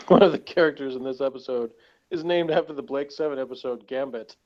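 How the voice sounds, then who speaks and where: A man's voice: medium pitch, fairly quick, darkish tone, lo-fi sound. A man chatting casually as he reviews a TV episode, giving opinions with wry humour.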